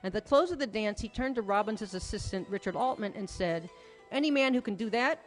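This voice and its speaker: Female lecturer, mid-pitched, articulate storyteller reading prepared text; echoing hall, music underneath. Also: lively intonation, a little fast. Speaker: lecturer, author presenting research. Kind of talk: prepared lecture read aloud to an audience. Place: large hall at a conference. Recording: reverberant hall, music playing behind.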